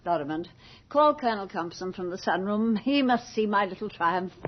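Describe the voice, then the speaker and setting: An actress in a radio drama playing an imperious, callous society hostess with a haughty, theatrical, commanding way of speaking. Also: female voice, mid-range voice, expressive, normal speed, slight background noise, lightly acted. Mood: gleeful, eager. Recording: dull 1980s radio drama recording.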